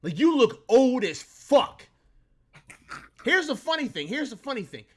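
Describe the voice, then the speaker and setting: A man's voice: extremely high pitch, sing-song, a little slow, breathy edge. A man in his late thirties hosting a live sports talk stream, ranting with plenty of profanity and boasting. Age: 30s. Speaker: sports talk show host. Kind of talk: casual live-stream chat.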